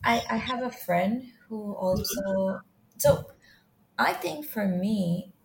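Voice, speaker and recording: Gravelly-voiced Venezuelan-born woman co-host. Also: mid-range voice, lively intonation, slow pace, noisy background. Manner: thoughtful, searching for words, laughs easily. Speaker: podcast co-host. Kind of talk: casual chat.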